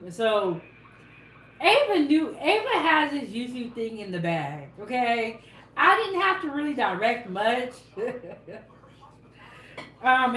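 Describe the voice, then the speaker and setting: A woman's voice: on the high side, fairly bright tone, a little hiss or hum. A woman co-hosting a live cooking stream, chatting casually, reading viewer comments and laughing often. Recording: echoey room.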